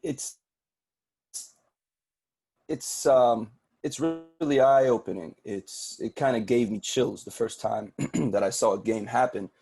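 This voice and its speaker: Husky, mellow-voiced male podcast guest. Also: medium pitch, takes it slowly. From Sudan. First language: Arabic. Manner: earnest, thoughtful, repeats words when starting. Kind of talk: casual interview talk.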